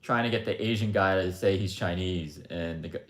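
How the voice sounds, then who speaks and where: A man holds forth on a livestream in a low voice. His talk is blunt and rambling, full of "like" and "um" as he circles back to his point. The sound carries a roomy echo. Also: moderate pace. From United States. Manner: rambling, blunt, frequent asides with "like" and "um". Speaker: livestream host. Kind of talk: casual opinionated monologue.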